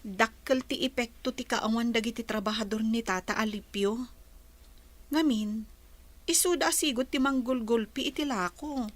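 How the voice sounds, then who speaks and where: Woman acting young village woman, very high voice, plaintive, sorrowful, radio play. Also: normal speed, close to an everyday voice.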